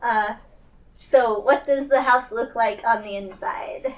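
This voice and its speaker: A woman's voice: on the high side, slow pace. A woman chatting casually and playfully as a tabletop game player, hesitant and self-deprecating. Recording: distant mic, faint noise.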